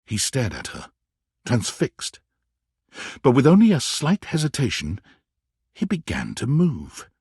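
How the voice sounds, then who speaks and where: A man giving scripted, theatrical narration for a game, telling the story in an expressive, dramatic way. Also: mid-range voice, a little slow, long pauses, slightly rough, no echo, clean studio sound.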